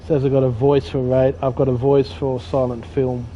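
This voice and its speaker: A man's voice: medium pitch, little pitch movement, moderate pace, warm tone. A man chatting casually while playing a train driving game, rambling and joking at himself. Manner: rambling, self-deprecating, mild swearing. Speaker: gaming streamer. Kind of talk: casual gameplay commentary. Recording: dull lo-fi audio with faint game noise.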